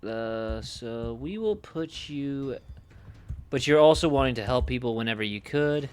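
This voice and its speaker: A man streaming casually, thinking aloud in a musing, hesitant way with pauses mid-thought. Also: medium pitch, lively intonation, darkish tone, clear, resonant tone.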